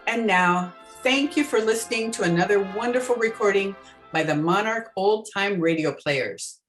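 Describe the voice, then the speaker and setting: Woman announcer with a medium-pitched voice. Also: normal speed, fairly bright tone, faint music behind. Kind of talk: scripted radio credits announcement.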